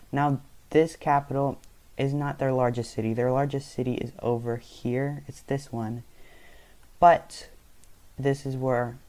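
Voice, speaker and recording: A man's voice: medium pitch, a little mellow. A young man, not a native English speaker, casually narrating a map video in a rambling way, often stopping to correct himself. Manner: rambling, self-correcting, loose storytelling.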